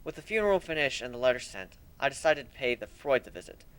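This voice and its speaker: A man giving an amateur dramatic reading of a first-person story, expressive and a little unpolished. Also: medium pitch, quick pace, clear, resonant tone, dry recording, poor audio. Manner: expressive, animated, with slips and restarts.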